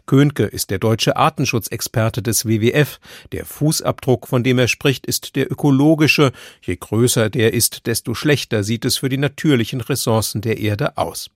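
A man presenting a science news radio segment in a measured, matter-of-fact scripted delivery. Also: medium pitch, dry recording, studio quality.

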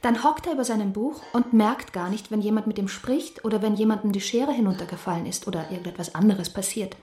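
Woman acting peevish gentlewoman in echoing room. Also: mid-range voice, fast talker, close to an everyday voice. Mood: peevish, dismissive. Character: peevish, self-important young gentlewoman, quick to contradict. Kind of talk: German radio play acting.

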